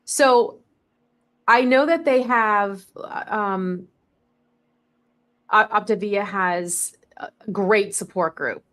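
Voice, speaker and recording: A woman from the American South hosting a casual podcast interview. Her voice is mid-pitched, and she chimes in with eager affirmations and friendly questions. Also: a bit bright. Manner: enthusiastic, quick affirmations. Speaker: podcast host.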